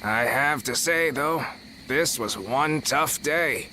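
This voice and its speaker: A bright-voiced, easygoing loyal warrior. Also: male voice, medium pitch, takes it slowly, a little hiss or hum. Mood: relieved. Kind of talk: video game dub acting.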